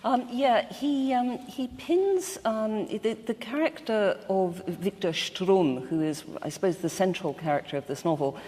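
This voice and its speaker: A woman's voice: mid-range voice, a little slow, hardly any pauses, mellow. Woman panelist in an echoey hall. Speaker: panel guest discussing a novel. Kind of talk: literary panel discussion. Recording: echoey hall with long reverb.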